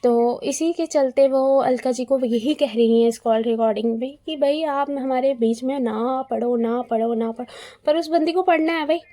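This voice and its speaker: A woman talks like a video commentator going over gossip. Her voice sits a little high but has a mellow, relaxed tone. She is conversational and opinionated, often repeating phrases to press her point. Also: normal speed. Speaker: YouTube commentator. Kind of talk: casual gossip commentary, retelling events.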